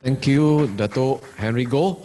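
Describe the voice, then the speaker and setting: A man's voice: mid-range voice, unhurried, mellow. A male moderator summing up points and introducing speakers politely. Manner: summarizing, polite, "kind of" fillers.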